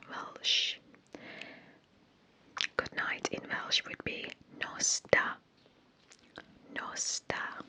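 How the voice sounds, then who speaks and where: A soft, unvoiced ASMR whisper that moves at a very slow pace. The tone is bright and crisp, with gentle repetitions and small hesitant pauses between words. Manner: unsure of foreign pronunciations. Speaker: ASMR creator.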